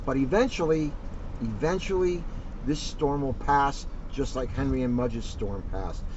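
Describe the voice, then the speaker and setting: A man's voice: unhurried, a little mellow. A male police officer giving an informal, encouraging address to children and families, earnest and repeating phrases for emphasis. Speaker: police officer co-hosting a community message. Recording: distant, dull mic with clear rumbling noise.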